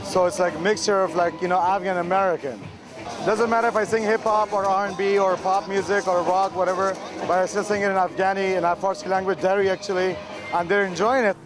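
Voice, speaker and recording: A man who sings, talking in an upbeat, energetic way outdoors in a city. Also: sits high, brisk, music in the background, low-quality recording. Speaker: singer. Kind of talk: casual interview.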